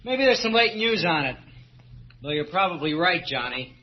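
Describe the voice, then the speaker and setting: A man's voice: fairly high pitch, expressive, moderate pace, slight background noise, natural voice. An actor playing an easygoing, curious man with an offhand, conversational manner in an old-time radio drama. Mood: casual, curious. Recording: muffled vintage radio, faint music.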